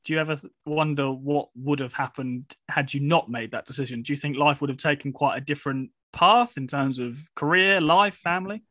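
A man's voice: on the high side, fairly quick, few pauses, bright, forceful tone, no room sound. A man hosting a radio interview show, with a polished, upbeat presenter delivery in a studio. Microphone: studio mic.

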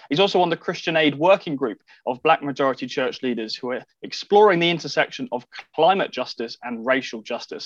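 A man's voice: on the high side, no echo. A man with a British accent presenting and interviewing as a radio show host, in a slightly dull, close recording. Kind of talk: scripted introduction and conversational interviewing.

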